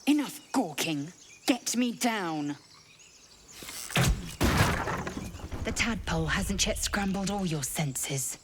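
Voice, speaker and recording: A woman's voice: lowish voice, expressive, a little slow, very noisy. A woman voicing a haughty, commanding female githyanki warrior in a fantasy drama, imperious and clipped. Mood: imperious, impatient. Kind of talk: cinematic fantasy game acting.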